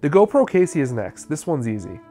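A man's voice: a little high, sing-song, brisk, warm tone, faint music behind. A man, narrating a how-to video in a scripted, explanatory style.

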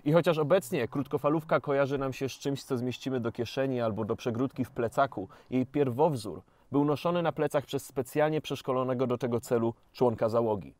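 A man's voice: medium pitch, a little fast, few pauses, a little mellow, dry recording. A man reading scripted educational narration as a popular science presenter.

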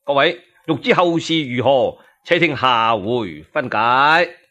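A man telling a dramatized traditional tale in Cantonese, colloquial and expressive, with asides to his listeners. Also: mid-range voice, takes it slowly. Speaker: solo storyteller. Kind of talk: traditional Chinese radio storytelling, dramatized narration.

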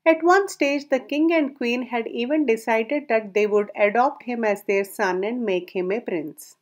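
A woman narrating a folk tale aloud in a measured storybook reading, her sentences falling at the ends. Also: a little high, a little mellow.

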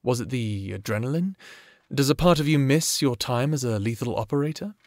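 Guy playing a gentle male therapist, mid-pitched, polite careful questions, dry close audio, roleplay style. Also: animated, dry recording, close to an everyday voice. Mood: probing. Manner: measured, polite, probing. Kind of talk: audio roleplay acting, one-sided conversation.

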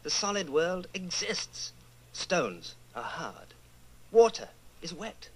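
A man's voice: sits high, big pitch swings, slow, slightly rough, full character voice, rough recording. An actor playing an earnest, troubled, solitary man in an old-time radio style drama. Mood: brooding. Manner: earnest, brooding.

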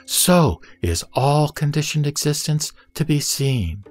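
A man's voice: fairly high pitch, expressive, a little mellow. A man playing a serene, solemn Buddha. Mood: solemn, emphatic. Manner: measured, solemn, didactic. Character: the Buddha, serene male teacher addressing his disciple. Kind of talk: audio drama scripture recitation. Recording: music behind the voice.

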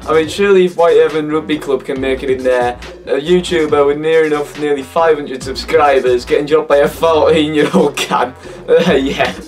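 Hoarse teenage Cumbrian lad. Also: male voice, fairly high pitch, steady tone, normal speed, recorded from a distance, soft background music. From Cumbria, England. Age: teens. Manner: laughing, swearing, incredulous. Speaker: YouTuber. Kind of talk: casual reaction vlog. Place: bedroom, indoors. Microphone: webcam at desk.

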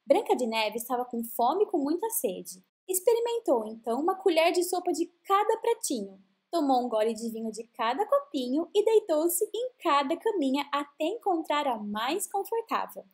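High-pitched Brazilian woman, animated storyteller. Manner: expressive, drawn-out emphasis. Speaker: children's storyteller. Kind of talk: scripted fairy-tale narration for children.

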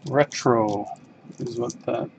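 Casual Canadian male host, medium pitch, slow unhurried delivery, reads cards while opening packs. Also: noisy background. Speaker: box break host. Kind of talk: casual live-stream card break commentary. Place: desk in a home card room.

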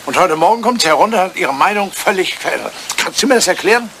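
A man's voice: high voice, expressive, fast talker, vocal fry, background noise. An older man acting a grumpy, self-centred old English lord in a German radio play, querulous and easily flustered. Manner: querulous, hesitant, blustering.

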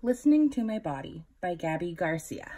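A woman in her thirties reading a children's picture book aloud, instructive, pausing to put questions to young listeners. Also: lowish voice, big pitch swings, dark tone. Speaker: children's story time reader.

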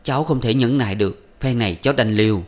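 A man's voice: mid-range voice, normal speed, a bit bright, voice pushed up, natural voice. A man voicing an angry, proud young wife who scolds sharply. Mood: angry, indignant.

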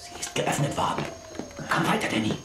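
An actor playing a cautious, shrewd Western sheriff in a children's audio drama. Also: male voice, fairly quick, reverberant, heavy background noise, close to an everyday voice. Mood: hushed, wary.

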